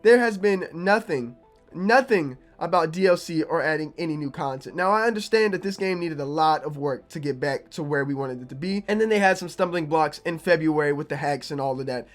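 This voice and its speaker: A young man, a gaming YouTuber, talking casually to his viewers with a slightly raspy, low-energy voice from being sick. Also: fairly quick, soft background music. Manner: conversational, repeats himself, low energy. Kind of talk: casual opinion vlog to camera.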